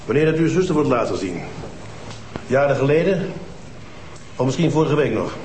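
An actor playing a stern police detective who questions suspects insistently. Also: male voice, mid-range voice, big pitch swings, normal speed, darkish tone, a little hiss or hum, lightly acted. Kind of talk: TV crime drama acting. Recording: roomy TV soundtrack with music.

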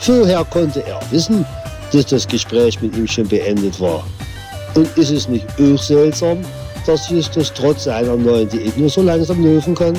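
Guy narrating an audio drama, tongue in cheek, lively intonation, faint music under. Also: mid-range voice, a little slow.

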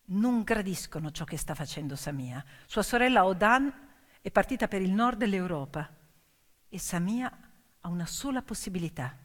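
A woman's voice: mid-range voice, lively intonation, moderate pace. Woman storytelling dramatically in a reverberant hall. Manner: intense, emphatic delivery in short suspenseful phrases, swinging from cries to whispers. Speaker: stage actress and storyteller. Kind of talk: dramatic theatrical narrative monologue. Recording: long hall reverb, piano music underneath. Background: piano accompaniment.

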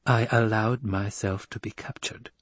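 Man voicing an eerily composed little girl, unhurried. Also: on the low side, dry recording. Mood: matter-of-fact. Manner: calm, precise, taunting. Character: eerily self-possessed, defiant little girl, coolly malicious. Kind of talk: dramatic audiobook character voice.

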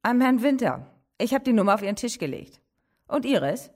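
Lively colleague, slightly distant. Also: female voice, a little high, fairly quick, slight background noise. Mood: friendly. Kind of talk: radio drama dialogue.

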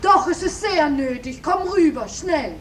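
Casting: plain-spoken young maid, very high pitched, earnest radio-play delivery, set far from the microphone. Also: female voice, expressive, unhurried. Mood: anxious, pleading. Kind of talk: 1950s German radio drama acting. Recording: faintly hissy, distant 1950s radio recording.

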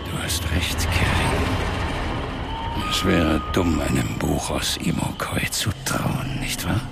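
An actor playing a mysterious ancient demon hunter in a fantasy radio drama, whispering slowly and ominously with a breathy, gravelly voice. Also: male voice, a bass voice, heavy background noise, heavily acted. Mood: grave, knowing. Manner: slow, whispering, urgent and ominous.

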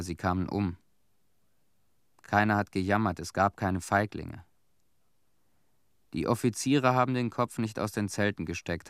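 An actor playing a weary, bitter former cleanup worker who recalls events in a terse, sober monologue for a German radio play. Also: male voice, fairly low pitch, no room sound, studio quality.

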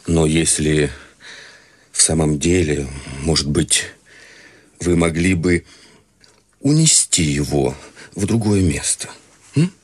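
A man's voice: rumbling low, animated, slow, long pauses, husky, exaggerated voice. An actor playing Father Finnegan, an evasive, awkward priest, in a radio play. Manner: hesitant, evasive.

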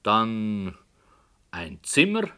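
A brooding radio-play author. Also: male voice, fairly low pitch, huge pitch swings, clear, resonant tone, full character voice. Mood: musing. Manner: slow, hushed, sometimes whispering. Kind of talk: 1960s radio play acting.

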